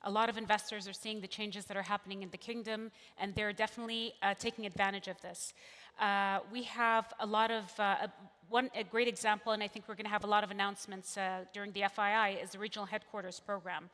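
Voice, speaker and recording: A woman's voice: medium pitch, little pitch movement, quick pace, fairly bright tone. A woman from Saudi Arabia, a deputy minister speaking confidently and upbeat on a panel, with many fillers. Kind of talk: panel talk. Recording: long hall reverb.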